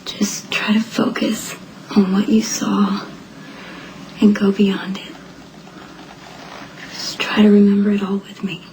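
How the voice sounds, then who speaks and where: Woman acting a hushed, slow, ghostly woman. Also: mid-range voice, level tone, in a whisper, lightly acted, low-quality recording. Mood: solemn, coaxing. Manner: hushed, slow, breathy urging. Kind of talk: dramatic audio or screen acting.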